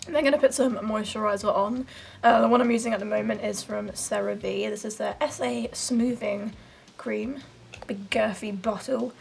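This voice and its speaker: A young woman with a croaky voice, chatting casually through her makeup routine in her bedroom, rambling and self-deprecating. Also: mid-range voice, slight background noise. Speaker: beauty vlogger. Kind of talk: casual get-ready-with-me chat.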